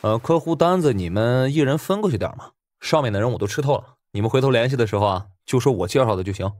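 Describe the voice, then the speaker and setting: A man's voice: medium pitch, animated, darkish tone, no room sound, natural voice. A man playing a glib, chummy young casino worker in an audiobook drama, ingratiating and chatty. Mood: casual, generous. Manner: ingratiating, chatty, drawn-out particles. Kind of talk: Mandarin audiobook drama acting.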